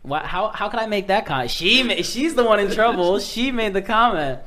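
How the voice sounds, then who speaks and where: A woman's voice: mid-range voice, animated, normal speed, a crowd in the background. A woman in her twenties hosting a podcast, teasing her friends in loose, profane banter. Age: 20s. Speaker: podcast host. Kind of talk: casual chat.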